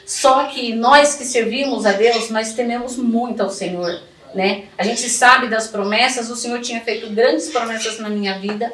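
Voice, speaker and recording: A woman's voice: a little high, fairly even intonation, moderate pace, fairly bright tone. A middle-aged woman gives personal testimony in conversation, devout, colloquial and emphatic. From São Paulo, Brazil. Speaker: interview guest telling her life story. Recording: echoey, distant mic, clearly noisy.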